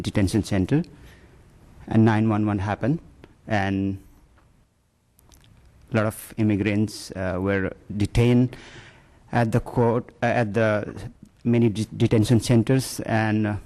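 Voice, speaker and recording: Man, slow, halting, hesitant testimony, meeting room. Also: fairly low pitch, a little mellow. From Nepal. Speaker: public commenter at county meeting. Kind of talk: personal testimony. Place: county board meeting room.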